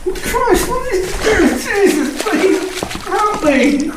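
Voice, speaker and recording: A man's voice: extremely high pitch, big pitch swings, very slow, heavily acted. A man playing an agitated, desperate British husband in a radio thriller, pleading and anguished. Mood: panicked pleading. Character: frightened, drugged young husband, agitated and desperate. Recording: echoey room with faint noise.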